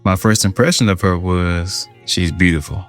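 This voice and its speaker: A man speaks in a low, deep voice. He talks earnestly and heartfelt, repeating phrases for emphasis. Soft music plays under him. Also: moderate pace. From Georgia, USA. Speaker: interviewee. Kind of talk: interview, personal story. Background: background music.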